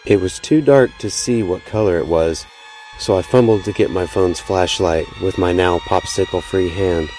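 A man's voice: sits low, moderate pace, darkish tone. A man narrating a first-person horror story in a dramatic, suspenseful storytelling manner. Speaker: podcast host and narrator. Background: music behind the voice.

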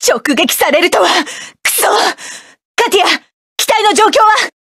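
Proud, defiant mecha pilot. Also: female voice, very high voice, lively intonation, measured pace, no room sound, studio quality, heavily acted. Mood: fierce, defiant. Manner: sharp, defiant, commanding battle lines. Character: proud, combative young woman mecha pilot. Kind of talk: game character voice lines.

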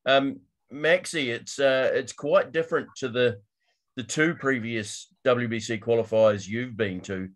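A man's voice: mid-range voice, unhurried. A man with a mid-range voice hosts a sports podcast on a video call. He asks guests casual, friendly questions. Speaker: podcast host.

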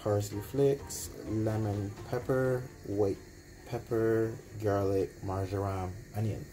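A man's voice: on the low side, fairly even intonation, takes it slowly, dark tone, soft background music, poor audio. A man with a Barbadian accent casually explaining cooking steps as a home cook. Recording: noticeable background noise.

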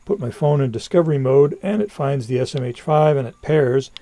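A man's voice: mid-range voice, animated, fairly quick, warm tone. A man presenting a scripted product review as a voice-over narration.

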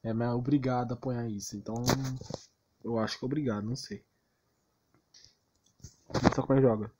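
A man's voice: medium pitch, fairly even intonation, a little fast, heavy background noise. A man with a Brazilian accent giving casual gameplay commentary in a rambling, slangy, unsure way. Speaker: gaming channel host. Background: game music.

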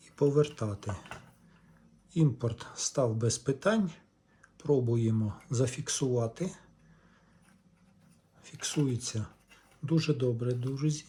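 A man giving a casual hands-on demonstration as a video host, speaking in short, halting, unhurried phrases. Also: mid-range voice, dark tone, a little hiss or hum. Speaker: host of a reel-to-reel repair channel.

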